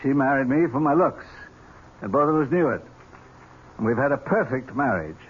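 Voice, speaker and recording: An actor playing a wealthy, cynical middle-aged uncle with a deliberate, theatrical delivery in a 1970s radio drama. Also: male voice, mid-range voice, lively intonation, husky. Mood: cold, accusing. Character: wealthy older uncle, grieving, cynical, bitingly accusing. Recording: dull, noisy 1970s radio recording.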